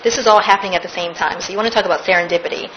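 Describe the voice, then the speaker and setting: A woman's voice: lowish voice, very fast, muffled recording, slight background noise. A woman, a stage actress, recounting her theater career in a casual interview, laughing now and then.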